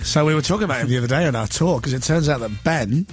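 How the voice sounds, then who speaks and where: A man's voice: medium pitch, rapid-fire, soft background music. A man hosting a radio show, chatting and teasing his guest playfully. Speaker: radio host. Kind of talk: casual chat.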